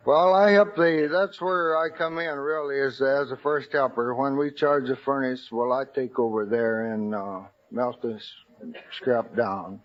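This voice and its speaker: A man's voice: mid-range voice, steady tone, unhurried. A man answering as a radio quiz contestant in a plain, rambling, unpolished way. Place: radio studio with live audience. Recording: dull 1950 radio recording, loud audience.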